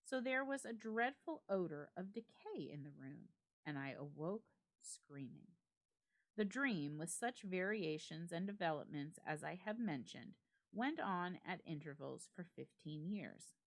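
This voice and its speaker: Expressive woman reading aloud, lively pitch. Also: unhurried. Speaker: library staff reader. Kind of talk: dramatic reading of a classic ghost story.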